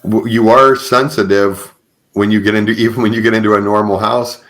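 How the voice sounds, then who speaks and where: A man with a notably low voice speaks as a podcast host. He talks in a conversational, earnest way, affirming the other person and peppering his phrases with "you know.". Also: fairly even intonation, a little fast, a bit bright, poor audio. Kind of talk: conversational interviewing.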